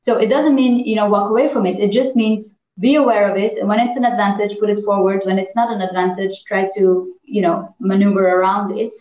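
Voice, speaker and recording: An earnest woman from Iran talks through her experience as a negotiation trainer. She speaks at a middle pitch and often restarts her sentences. The sound is roomy and echoey, with a dull, lo-fi tone. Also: fairly even intonation, fairly quick, few pauses. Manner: earnest, many fillers and restarts. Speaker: negotiation trainer and executive coach. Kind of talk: reflective interview answers.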